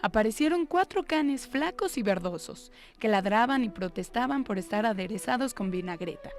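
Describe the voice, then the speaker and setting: Slightly creaky-voiced woman telling a story. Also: fairly high pitch, expressive, moderate pace, darkish tone, faint music behind. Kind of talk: expressive story reading.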